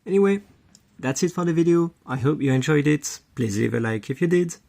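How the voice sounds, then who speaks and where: Husky, gravelly guy unboxing toys. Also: on the high side, animated, a little fast, a little mellow. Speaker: toy unboxing video host. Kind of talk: casual unboxing commentary.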